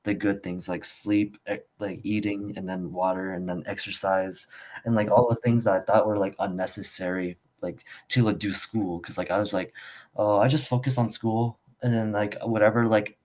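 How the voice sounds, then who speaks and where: A man's voice: lowish voice, fairly even intonation, moderate pace, darkish tone, rough recording. A young man, a student whose first language is Khmer, talking casually in a rambling way, full of fillers and restarts. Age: young adult. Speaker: student guest. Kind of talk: casual conversation.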